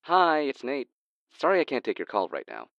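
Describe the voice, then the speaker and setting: A man's voice: medium pitch, wildly swooping pitch, a little fast, gravelly, lo-fi sound, background noise. An actor playing an easygoing young man's voicemail greeting in an audio drama, through a phone filter. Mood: casual.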